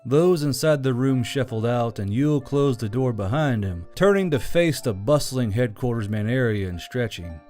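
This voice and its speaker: A man's voice: mid-range voice, lively intonation, normal speed, hardly any pauses, darkish tone. Man, mid-range voice, scripted story narration, audiobook reading, music playing behind.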